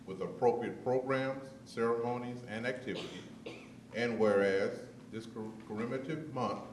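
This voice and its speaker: A fairly deep-voiced man reads a proclamation steadily, now and then tripping over a word. He sounds distant, in an echoing council chamber with faint background hiss. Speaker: city councilmember. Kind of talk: reading a proclamation aloud. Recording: echoey chamber, mic from far off.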